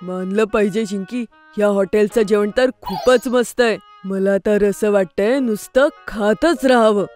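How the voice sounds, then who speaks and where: A woman voicing an excitable, food-loving little boy in a children's cartoon, full of wide-eyed enthusiasm. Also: medium pitch, measured pace, few pauses, natural voice. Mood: eager, happy. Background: background music.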